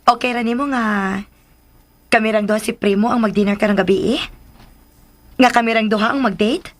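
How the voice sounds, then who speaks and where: An actress with a Filipino accent playing an eager, coaxing young daughter in a radio drama. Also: female voice, fairly high pitch, fairly even intonation, brisk, natural voice. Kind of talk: Philippine radio drama acting.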